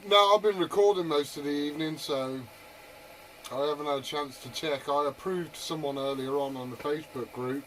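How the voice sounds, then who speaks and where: A man's voice: fairly high pitch, moderate pace, a bit bright. A British man chatting casually over CB radio, often asking short questions. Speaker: CB radio operator. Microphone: two-way radio microphone. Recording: very noisy CB radio transmission.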